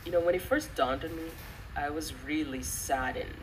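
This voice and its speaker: A young woman giving personal commentary in an earnest, reflective way, halting with pauses. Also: low-pitched. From Western country, of Rwandan descent. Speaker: channel host giving commentary. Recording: distant mic, clearly noisy background.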